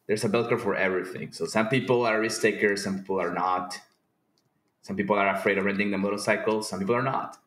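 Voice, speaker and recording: A man from Peru speaking casually as an interview guest, informal and halting, repeating words as he explains. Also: on the low side, steady tone, fairly bright tone. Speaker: podcast guest, company founder. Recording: roomy, echoing sound.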